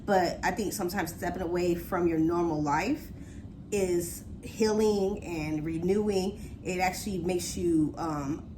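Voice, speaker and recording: A woman's voice: on the low side, a little slow, background noise. Easygoing woman in her late forties. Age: 40s. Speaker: guest, vlogger's sister. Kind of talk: casual chat.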